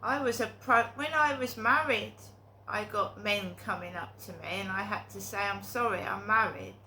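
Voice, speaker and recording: A woman's voice: medium pitch, normal speed, bright, forceful tone, slight background noise, poor audio. A middle-aged woman with a cold and sore throat, talking in a rambling, hesitant monologue full of ums and restarts. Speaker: vlogger.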